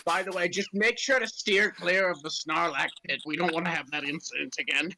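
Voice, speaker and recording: A man's voice: fairly high pitch, fairly quick, vocal fry, pushed and bright, rough recording. A man improvising comic, sweary banter during a playful script reading. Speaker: cast member in a comedy script reading.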